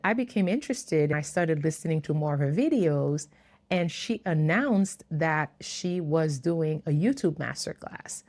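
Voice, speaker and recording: A woman in her fifties tells her own story to camera in a low, earnest voice. English is not her first language, and she talks reflectively, laughing lightly now and then. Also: expressive, unhurried, darkish tone. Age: 50s. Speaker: YouTuber.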